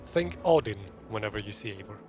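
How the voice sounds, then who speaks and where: A man's voice: on the low side, lively intonation, a little fast, over music. Man streaming a game. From Greece. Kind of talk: casual live gameplay commentary.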